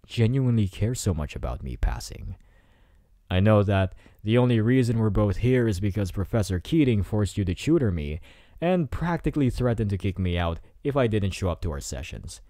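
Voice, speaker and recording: A man's voice: lowish voice, big pitch swings, moderate pace, warm tone, dry recording, studio quality. A man playing a cocky, teasing teenage delinquent student in an ASMR roleplay, with a smug, taunting delivery.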